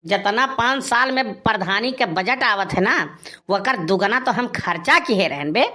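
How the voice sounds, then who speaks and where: A man's voice: sits high, animated, a little slow, sharp tone, exaggerated voice. A man playing an aggrieved, boastful village husband in a cartoon comedy, grumbling and scolding with a rustic eastern Uttar Pradesh accent. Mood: exasperated. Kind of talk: Awadhi cartoon comedy dialogue.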